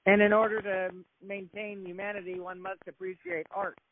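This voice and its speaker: A man's voice: high-pitched, little pitch movement, normal speed. A male interviewer asking questions in an upbeat, encouraging way, over a tinny phone-like line. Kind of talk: casual interview.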